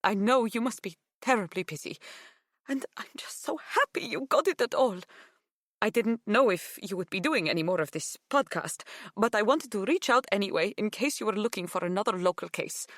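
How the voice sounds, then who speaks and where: An older Greek woman, as a female audiobook reader acts her out, talking in a lifted, higher voice. She is warm and effusive, chatty and full of gratitude, with a clear Greek accent and a dry, close sound. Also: expressive, moderate pace, dry recording, close to an everyday voice. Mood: grateful, warm. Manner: gushing, chatty, affectionate. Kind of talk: audiobook character dialogue.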